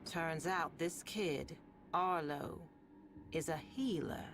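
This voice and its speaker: A woman playing a sardonic, world-weary radio host in a sci-fi game, telling stories in a deliberate, ominous, wry way with long pauses over music. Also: deep voice, noisy background. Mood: wry, cynical. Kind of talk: slow dramatic monologue to listeners, storytelling.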